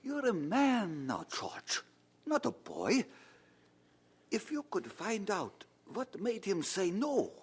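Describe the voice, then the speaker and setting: Excitable, raspy young student friend. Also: male voice, high-pitched, slow pace, exaggerated voice. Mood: encouraging. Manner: excitable, coaxing. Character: loyal, eager young male student friend. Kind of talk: radio drama acting.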